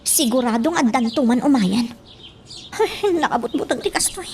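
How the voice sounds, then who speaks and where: A woman's voice: high voice, animated, moderate pace, a little mellow, a little hiss or hum. A woman in a radio drama playing a chatty, fretful mansion servant, anxious and gossipy in her delivery. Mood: worried.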